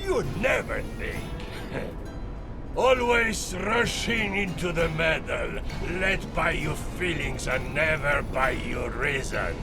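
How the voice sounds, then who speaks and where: An actor playing a vain, scheming megalomaniac count in a video game, declaiming in a bombastic, grandiose way. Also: male voice, fairly high pitch, vocal fry, full character voice. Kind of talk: theatrical video game villain acting.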